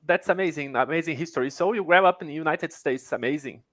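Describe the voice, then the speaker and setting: A man in his thirties hosting an interview, asking questions slowly and haltingly with many restarts. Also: fairly high pitch, fairly bright tone, no room sound, clean studio sound. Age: 30s. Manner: halting, repeats words, slow. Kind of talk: casual interview.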